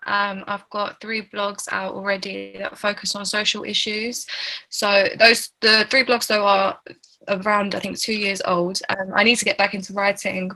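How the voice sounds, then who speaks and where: A woman's voice: medium pitch, vocal fry, sharp tone, low-quality recording. A young woman with a British accent chatting as a guest on a video call. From born in the UK. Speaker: podcast guest. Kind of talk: casual chat. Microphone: remote video call.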